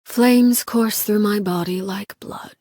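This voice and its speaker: An actress playing a cold, composed, menacing female commander in a video game, speaking in a measured, formal, politely threatening way with a breathy voice. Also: medium pitch, dark tone, dry recording, clean studio sound. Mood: reflective, detached. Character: cold, composed, menacing woman commander called Father by orphans. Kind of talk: scripted video game character voice lines.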